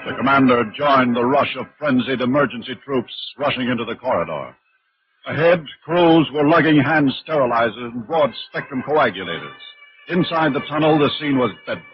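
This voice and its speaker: An actor narrating a 1950s radio science fiction drama in a grave, dramatic manner. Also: male voice, medium pitch, animated, moderate pace, close to an everyday voice. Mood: dramatic, intense. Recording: muffled 1950s radio recording, music behind. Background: music.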